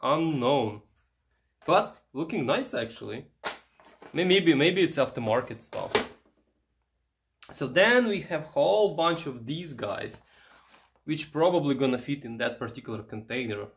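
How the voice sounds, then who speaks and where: A man giving casual, unscripted show-and-tell commentary, halting and musing aloud as he handles things. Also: on the high side, animated, creaky, a little hiss or hum. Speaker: vintage electronics vlogger.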